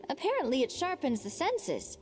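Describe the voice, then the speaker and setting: A woman playing an informative, slightly defensive young woman in a video game, explaining things clearly. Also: sits high, moderate pace. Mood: matter-of-fact. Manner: explanatory, animated. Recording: long hall reverb, game music behind.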